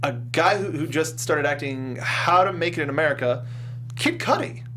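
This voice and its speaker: A man's voice: a little high, moderate pace, a bit bright, a little hiss or hum. A man hosting a movie review show, chatting casually with his fellow panelists.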